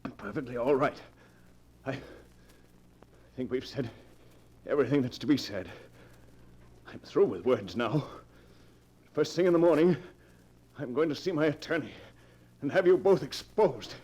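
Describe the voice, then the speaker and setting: An actor playing a wealthy betrayed husband in an old radio drama, speaking in formal, pointed phrases. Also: male voice, medium pitch, unhurried, pauses often, dark tone, husky. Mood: angry, resolute. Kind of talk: golden age radio drama acting. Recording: muffled old radio show recording.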